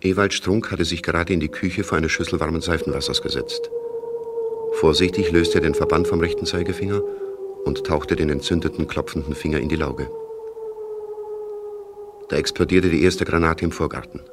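A man reading scripted literary narration in a radio play, in a measured, detached, factual way. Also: rumbling low, steady tone. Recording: clearly noisy background.

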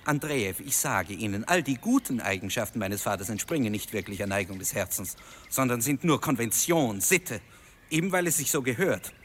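A man's voice: medium pitch, animated, brisk, a bit bright, a little hiss or hum, close to an everyday voice. Man playing bitter, rebellious young student. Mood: bitter, scornful. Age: young adult. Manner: bitter, emphatic. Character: resentful, rebellious young student, son of the governor. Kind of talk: German radio drama acting.

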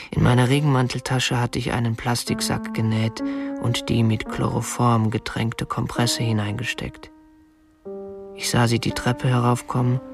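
An actor playing a prim, obsessive young kidnapper in a radio drama, speaking in a matter-of-fact, politely stiff and controlled way. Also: male voice, mid-range voice, vocal fry. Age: young adult. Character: prim, obsessive young male kidnapper confessing his crime. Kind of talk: radio drama narration and dialogue.

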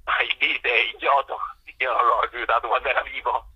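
A man's voice: medium pitch, flat delivery, brisk, pushed and bright, husky. A man talking casually as an interview guest on a lo-fi call, hesitant and repeating himself. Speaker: interview guest, theatre author-performer. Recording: dull lo-fi phone call.

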